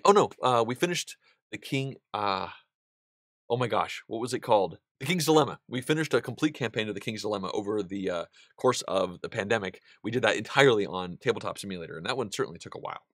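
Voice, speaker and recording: A man in his thirties hosting a board game channel, seated at a desk in a small studio and answering viewer questions in a relaxed, chatty way with plenty of ums and restarts. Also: on the low side, no echo. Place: indoor studio room with a wall of game pieces.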